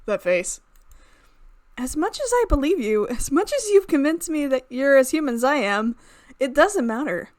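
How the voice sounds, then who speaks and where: A woman reading the part of an earnest, soft-hearted yet resolute young heroine in a visual novel, in a measured, dramatic way. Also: high-pitched, sing-song, no echo, pitched above the performer's own voice. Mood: cold resolve.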